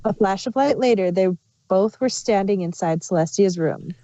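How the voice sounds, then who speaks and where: A woman's voice: mid-range voice, normal speed, mellow, dry recording, highs cut off. A woman reading scripted fan fiction aloud as the narrator.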